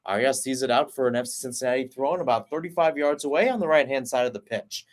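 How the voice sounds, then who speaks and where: A man giving live play-by-play commentary on a soccer match, keeping up a running call that rises and gets louder when attacks build. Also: medium pitch, fast talker.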